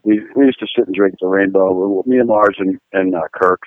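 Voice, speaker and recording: Low-voiced American guitarist over phone line. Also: male voice, brisk, dry recording. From Pittsburgh, US. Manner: rambling, animated, fillers, laughs at own jokes. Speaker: guest musician, guitar player. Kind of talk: casual storytelling interview. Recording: tinny phone-line sound, dry.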